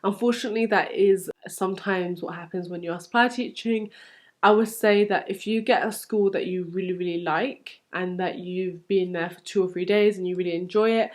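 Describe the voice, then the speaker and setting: A woman's voice: lowish voice, fairly even intonation, moderate pace. A young woman with a London English accent, giving encouraging advice in a casual, conversational vlog style as a supply teacher. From London, England.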